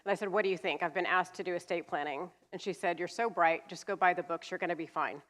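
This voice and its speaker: A woman's voice: on the low side, fast, a bit bright. A woman in her fifties from California, an attorney giving a seminar talk with a cold, explaining plainly with some fillers. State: has a cold, sniffly. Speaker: estate planning attorney, guest speaker. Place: church hall. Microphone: handheld mic.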